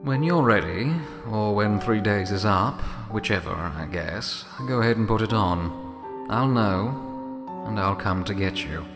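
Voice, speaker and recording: A man's voice: fairly low pitch, animated, a little slow. A man playing a casual, matter-of-fact messenger of Death in an audio story, speaking in an offhand way. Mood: calm, offhand. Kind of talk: dramatized fan fiction audiobook. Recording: long cavernous reverb, music behind.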